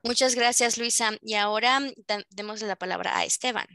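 Gravelly, bright-voiced woman moderator. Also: a little high, a little fast, no room sound, clean studio sound. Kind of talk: moderating a panel. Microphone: headset.

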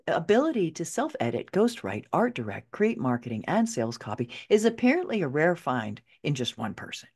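A middle-aged woman narrating her own memoir as an audiobook, expressive and conversational, with wry asides. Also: lowish voice. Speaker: author reading own book.

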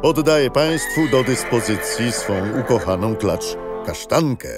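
A man's voice: medium pitch, expressive, measured pace, close to an everyday voice. An actor playing a gallant man of prewar times in a children's radio play, speaking in a courtly, declamatory way. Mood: courtly, proud. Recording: music behind.

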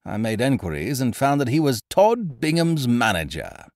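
A man voicing a loud, hearty, scheming young gentleman adventurer who blusters expansively. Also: medium pitch, very animated, moderate pace, dry recording, clean studio sound, pitched above the performer's own voice.

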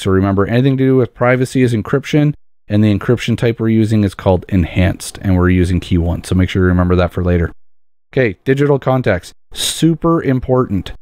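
Male tutorial narrator, relaxed dark timbre, dry close-miked sound, explanatory delivery that underlines key points by repetition. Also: on the low side, no echo. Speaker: tutorial presenter. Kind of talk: step-by-step software tutorial narration.

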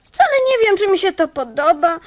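Very high-pitched timid little girl. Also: very animated, normal speed. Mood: unsure. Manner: hesitant, childlike. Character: timid, obedient little girl, unsure and fearful. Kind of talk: radio drama acting.

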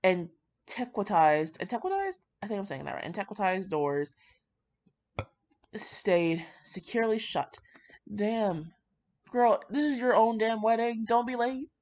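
A woman's voice: medium pitch, lively intonation, unhurried, darkish tone. A young woman reading fan fiction aloud in an informal, slangy way, stumbling over words here and there. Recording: dull, muffled lo-fi home recording.

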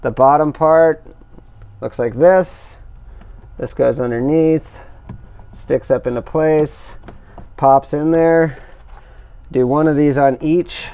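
A middle-aged man calmly explaining each step of a hands-on installation as he works. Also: fairly high pitch, clear, resonant tone. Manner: careful, measured explaining while working with his hands. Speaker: instructor demonstrating kayak outfitting. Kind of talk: step-by-step how-to demonstration.

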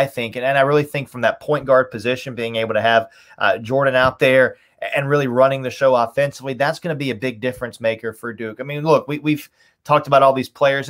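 A man's voice: mid-range voice, fairly bright tone. A man in his thirties talking through team analysis conversationally as a sports announcer on a video call, stressing points by repeating words. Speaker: radio play-by-play announcer, podcast guest. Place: small home room, indoors. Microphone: video call, webcam framing.

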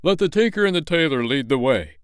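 A pompous storybook mayor who hems and haws before he commits to anything, voiced by a man reading aloud. The voice stays lively and a little raised, with a smooth, clear tone in a dry, close recording. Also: a little high, a little fast, no room sound, pitched above the performer's own voice, close to an everyday voice. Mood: hesitant. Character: cautious, pompous grown man town mayor.

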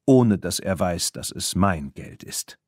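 Man reading a smooth, courtly horse dealer. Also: sits low, animated, slow pace, no echo, clean studio sound, full character voice. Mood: solemn, courteous. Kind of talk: audiobook character reading.

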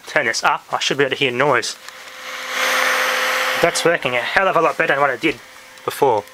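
A man with a mid-pitched voice talks through a hobby electronics demonstration in a casual, halting way, as if working it out aloud. The sound is a homemade handheld camera recording, with clear noise and clicks behind him. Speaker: hobbyist presenter. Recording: noisy amateur handheld camera audio with clicks.

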